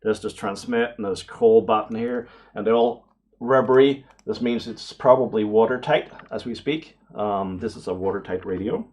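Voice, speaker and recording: A man giving casual unboxing commentary to camera, excitable and prone to awestruck exclamations and hesitations. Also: lowish voice, moderate pace. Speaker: vlogger, radio amateur hobbyist.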